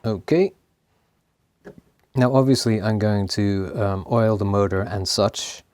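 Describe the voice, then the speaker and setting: A man casually talking through a tape machine repair, laughing often and pausing with lots of ums. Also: lowish voice, darkish tone, clean tone, no room sound. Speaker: host of an electronics repair channel. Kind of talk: casual hands-on commentary.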